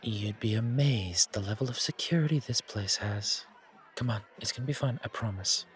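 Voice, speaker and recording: A man's voice: on the low side, moderate pace, faint music behind, lightly acted. A man playing a friendly, reassuring bartender in a casual, naturalistic audio roleplay, with a chatty and slightly hesitant delivery. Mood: concerned, reassuring. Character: friendly, reassuring male bartender at a supernatural bar. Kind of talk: casual, naturalistic one-sided roleplay acting. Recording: crowd chatter behind voice. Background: bar crowd chatter.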